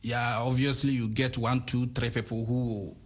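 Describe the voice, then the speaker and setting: A man's voice: mid-range voice, flat delivery, normal speed. A man in his late thirties, a guest in an interview, answering in plain, simple phrases and often repeating himself, a little away from the mic. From Ghana. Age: 30s. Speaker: guest prank video creator. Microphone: a bit far from the mic.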